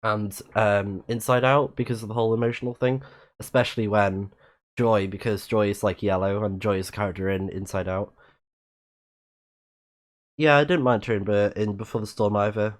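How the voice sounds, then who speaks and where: A man's voice: on the low side, fairly even intonation, normal speed. A man casually commentating on a video game as a live-streaming host, rambling in chatty asides, his nose a little blocked up. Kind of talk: casual game commentary, reading in-game messages aloud.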